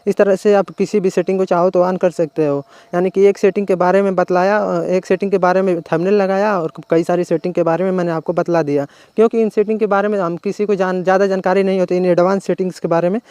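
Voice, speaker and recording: An Indian man hosts an informal tech tutorial in a high, smooth voice. He explains step by step, often restating an instruction in simpler words. The recording is close and dry, with very little room sound. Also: steady tone, fast talker, warm tone, no room sound. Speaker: tech channel host. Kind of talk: informal phone tutorial narration.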